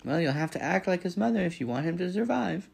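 A man voicing an old gray female goose in a storybook, brisk, scolding and bossy, with indignant, emphatic squawking. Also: sits high, lively intonation, vocal fry, a little mellow, breathy edge, pitched above the performer's own voice, exaggerated voice. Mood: brusque, indignant.

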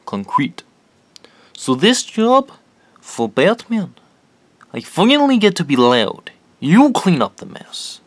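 An actor playing a menacing, brooding foreign hired killer in a radio drama, with deliberate, theatrical pauses and sudden swells. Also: male voice, medium pitch, a bit bright, no echo. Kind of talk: comic-book radio drama acting.

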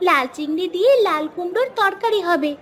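A woman's voice: very high voice, lively intonation, fairly quick, a bit bright, a faint crowd. A woman voicing a confident, chatty eleven-year-old girl in a cartoon story, speaking in an eager, insistent manner. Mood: chatty, pert. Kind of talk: animated cartoon storytelling dialogue. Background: background music.

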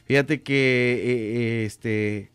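A man hosting a radio interview, relaxed and encouraging with frequent murmurs of agreement. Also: medium pitch, very slow, clear, resonant tone, no echo. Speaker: radio host. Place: radio studio.

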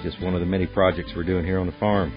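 A man's voice: low-pitched, flat delivery, fast. A middle-aged American man narrating a tour as a farm guide. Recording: dull lo-fi sound, guitar music behind. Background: acoustic guitar music.

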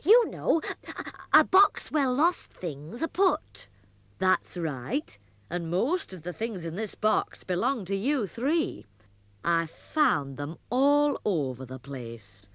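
A motherly puppet mum , as a woman narrator puts her on, patient and explaining. She speaks a little above the narrator's own pitch, slowly. The sound is dry and close. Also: very animated, fairly bright tone, no echo. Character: motherly furry puppet mum, busy tidying.

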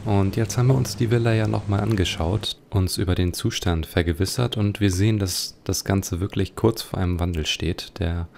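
A man's voice: sits low, gravelly, a little hiss or hum. A man giving musing, speculative commentary as he plays an adventure game. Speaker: let's play host.

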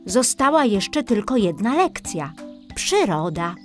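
A woman narrating a children's story in an expressive storybook style. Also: medium pitch, takes it slowly, faint music behind.

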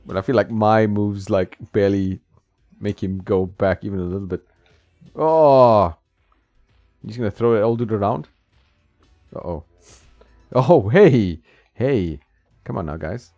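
Guy chuckling thru a retro fighter, low voice that jumps around a lot, dull dry sound, faint game music. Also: animated, measured pace, long pauses, a little mellow, no echo. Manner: reactive, chuckles often, talks to the game. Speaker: retro game video host. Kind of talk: casual gameplay commentary.

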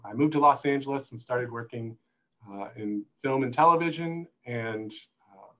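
A middle-aged man explaining things as a panel guest on a video call, in thoughtful, halting phrases, on an earbud mic. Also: medium pitch, a bit bright, rough recording. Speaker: guest, zoo conservation manager. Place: indoor room, video call. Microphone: wired earbuds headset.

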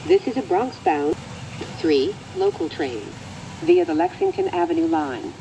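An American woman reading scripted transit announcements in a measured, formal manner. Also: on the low side, wildly swooping pitch, creaky, background noise.

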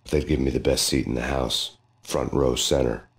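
An actor playing a brooding, world-weary hardboiled cop who narrates his story in a deadpan noir style. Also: extremely low pitch, brisk, very noisy.

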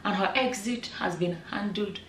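A woman in her thirties with a Nigerian accent, giving animated opinion commentary straight to camera, full of rhetorical questions. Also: medium pitch, normal speed, slight background noise. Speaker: vlogger. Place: bright room indoors, seated in chair. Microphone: far camera. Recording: roomy echo, mic at a distance.

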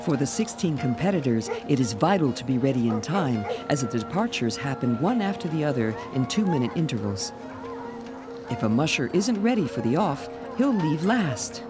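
A man reading scripted documentary narration in a measured way. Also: mid-range voice, sing-song. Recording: voice-over with loud music behind.

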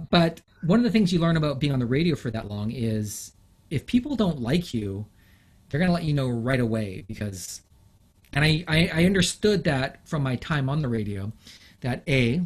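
A middle-aged man from England, an interview guest, talking thoughtfully with frequent fillers and pauses. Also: medium pitch, animated. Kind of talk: reflective interview conversation.

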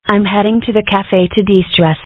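A woman's voice: mid-range voice, steady tone, moderate pace, dry recording, natural voice. Woman playing dry, weary rabbit fixer, muffled audio. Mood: tired. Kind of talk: comic dub character acting.

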